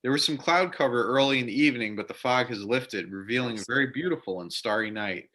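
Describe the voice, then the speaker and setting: A man's voice: mid-range voice, quick pace, pushed and bright, poor audio. A man narrating scripted stage directions for a readers theater drama.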